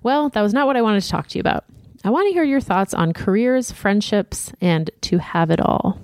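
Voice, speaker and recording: A woman co-hosting a podcast, reading listener emails aloud in a steady, plain voice. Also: mid-range voice, a little fast, no room sound, studio quality. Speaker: podcast co-host.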